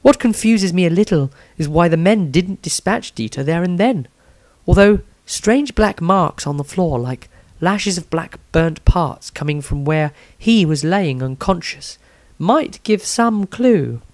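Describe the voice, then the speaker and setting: A man's voice: sits high, lively intonation, unhurried, darkish tone, no room sound. A composed, observant woman voiced by a man reads from her diary in a fantasy story. The phrasing is formal and careful, and the sound is dry. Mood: composed, puzzled. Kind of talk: in-character fantasy audiobook diary.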